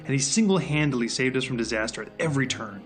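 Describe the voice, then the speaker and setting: A man's voice: medium pitch, lively intonation, fast talker, natural voice. Guy acting a tired by-the-book agent, dry and curt, audio drama, bit of music under it. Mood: grudging. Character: gruff, weary, by-the-book male agent in charge.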